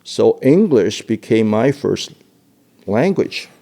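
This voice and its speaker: Gravelly low-voiced older man recounting memories. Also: big pitch swings, warm tone. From Hong Kong. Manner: halting, repeats words, laughs often. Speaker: interviewee. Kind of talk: oral history interview.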